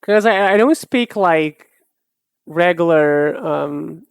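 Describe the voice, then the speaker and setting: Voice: mid-range voice, slow, creaky, smooth, dry recording, studio quality. A streamer from Brazil who speaks with a Brazilian accent and has Portuguese as a first language. They chat casually with a live chat, musing and hesitant, often restarting their sentences. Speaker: art streamer.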